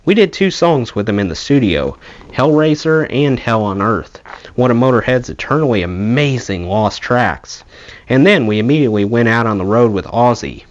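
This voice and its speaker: Animated man reading a memoir aloud. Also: mid-range voice, moderate pace, dull audio. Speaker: audiobook reader, channel host.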